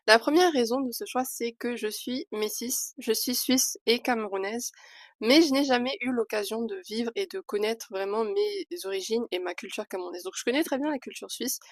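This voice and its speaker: A woman's voice: a little high, animated, moderate pace, no room sound. A young woman from Switzerland chatting candidly to camera in a casual vlog, with hesitant fillers between thoughts. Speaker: vlogger.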